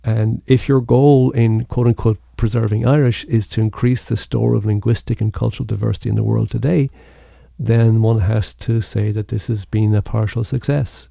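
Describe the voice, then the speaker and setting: A male university researcher answering an interview in a deliberate, academic way, with a gravelly voice. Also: on the low side, fairly even intonation, dark tone, no room sound, dull audio.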